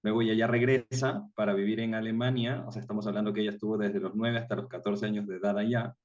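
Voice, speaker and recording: A man's voice: lowish voice, level tone, quick pace, a little mellow. Distant-sounding Ecuadorian man in conversation. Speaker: interview guest, tour guide. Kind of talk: casual interview, personal anecdotes. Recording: distant mic, slightly muffled sound.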